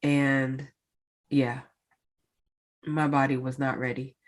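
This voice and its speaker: A woman's voice: a bass voice, flat delivery, takes it slowly. A woman hosting her own podcast in a casual solo update, congested and sounding nasal, chatty with many fillers and a lilt. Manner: chatty, many fillers, addresses listeners directly. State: congested, stuffed-up nose. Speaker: podcast host.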